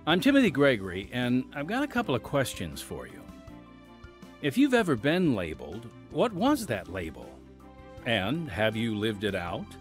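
A man's voice: medium pitch, wildly swooping pitch, moderate pace, faint music behind. An American man hosting a radio drama with scripted, earnest narration. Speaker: host and announcer.

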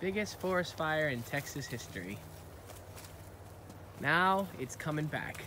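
A man's voice: a little high, expressive, unhurried. An American man in his thirties chatting and narrating casually as a playful, encouraging father on a family outing, recorded on a handheld phone. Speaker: father filming a family hike. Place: outdoors on a forest trail. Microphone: handheld phone camera. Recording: noisy outdoor phone recording.

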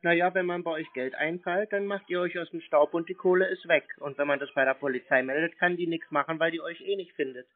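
A man's voice: a little high, fairly even intonation, fast talker, few pauses. A man in an unscripted phone argument, stubbornly contradicting the other side and repeating his accusations. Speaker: prankster confronting phone scammers. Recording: dry, narrow phone-like band.

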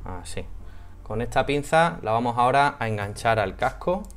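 A man's voice: mid-range voice, expressive, normal speed, fairly bright tone, clear, resonant tone, faint music behind. A man in his thirties with an accent from Spain, talking casually and a bit hesitantly through a hands-on product review. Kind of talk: casual unboxing and installation walkthrough. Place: small home office room.

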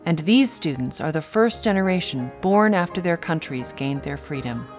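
Low-voiced woman narrating a documentary, music behind. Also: expressive, normal speed, a little mellow.

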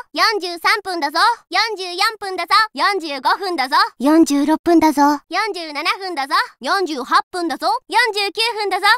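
A woman voicing an energetic, cheerful teenage tomboy schoolgirl drummer for a Japanese anime game, with boyish casual speech and punchy short phrases. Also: very high voice, dry recording, studio quality, full character voice. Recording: faint noise, game audio rip with clicks.

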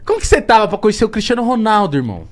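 A man's voice: high-pitched, wildly swooping pitch, very fast. A man, co-hosting a podcast, eagerly asking questions in casual chat. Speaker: podcast co-host.

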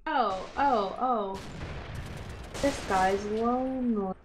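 A woman's voice: fairly high pitch, drawn-out words, background noise. Young woman gaming, faint gunfire behind. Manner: playful, slangy. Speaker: gamer, co-player. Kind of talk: casual gameplay chat. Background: war game gunfire.

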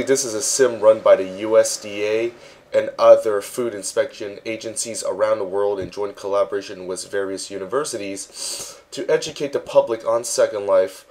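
A man narrating a casual walkthrough as a virtual world tour guide, relaxed and halting, with frequent ums. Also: fairly low pitch.

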